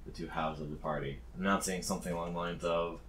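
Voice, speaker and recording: Low-voiced man running a tabletop game. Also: fairly even intonation, fast, a bit bright. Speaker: game master. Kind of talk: narrating a tabletop fantasy game.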